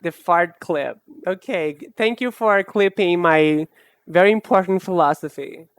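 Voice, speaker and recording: A young woman streamer chatting casually while she draws, rambling and self-mocking in a soft, low-key way. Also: a little slow, clean tone, no echo, clean studio sound. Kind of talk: casual chat while drawing live.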